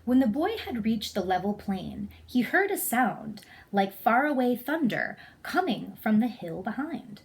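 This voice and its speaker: A woman's voice: fairly high pitch, very animated, unhurried, vocal fry, hardly any pauses. A woman narrating a children's story as a read-aloud. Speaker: storybook reader.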